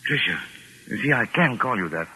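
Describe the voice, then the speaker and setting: An urbane, sardonic doctor in an old radio drama. His middle-pitched voice is very bright and husky, with lively swings as he coolly reasons. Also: fairly quick, exaggerated voice, poor audio. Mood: wry resolve. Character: wry, cool-headed male doctor held among gangsters. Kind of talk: 1940s radio acting. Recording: old 1940s radio broadcast recording.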